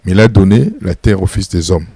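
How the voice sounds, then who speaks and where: A pastor from Congo, answering interview questions in an emphatic preaching style, full of repeated phrases and rhetorical questions. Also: male voice, low voice, fairly quick, mellow, husky, no echo. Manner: firm and insistent.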